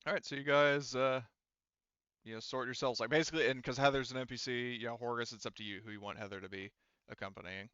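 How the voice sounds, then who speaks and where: A man's voice: mid-range voice, steady tone, fast talker, pushed and bright, clean tone, dry recording, muffled recording. A man running a tabletop roleplaying game as game master, explaining casually in a rambling, self-correcting way.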